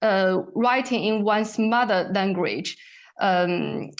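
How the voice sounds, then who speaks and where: A woman's voice: mid-range voice, takes it slowly, highs cut off. Chinese-accented woman author, mid-range voice. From China. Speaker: author guest. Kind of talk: reflective literary discussion.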